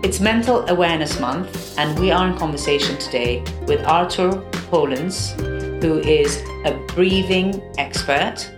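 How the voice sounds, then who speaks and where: Woman host, low voice, asking measured questions, faint music behind. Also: echoey room. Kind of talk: interview hosting.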